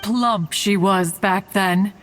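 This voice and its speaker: A woman's voice: medium pitch, slow, noisy background, close to an everyday voice. A woman playing an ancient, dignified female immortal in a video game, talkative and fond, with formal, archaic phrasing. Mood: fond, reminiscing. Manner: formal, archaic, calls herself "one". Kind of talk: theatrical video game character acting. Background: music.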